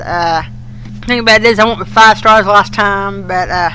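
A man co-hosting a podcast in casual chat, with a folksy, halting, colloquial way of talking. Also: bright, forceful tone. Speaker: podcast co-host. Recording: noisy recording with humming rumble.

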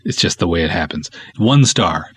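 A man with a fairly low voice and a husky texture talks casually as a podcast host. His delivery is wry and snarky, and the sound is dry and close. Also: lively intonation, normal speed, a bit bright, dry recording. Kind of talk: casual podcast talk, reading reviews aloud.

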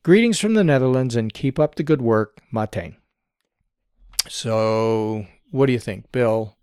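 A man's voice: medium pitch, expressive, darkish tone, clean tone, no echo. Man with a dry, close podcast voice. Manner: measured, explanatory. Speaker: podcast co-host. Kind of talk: casual tech podcast chat, reading listener email.

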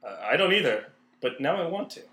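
A man hosts and narrates with comic commentary. His voice is slightly high and animated, recorded with noticeable room echo. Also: brisk. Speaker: podcast host and narrator. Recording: roomy, echoing room sound.

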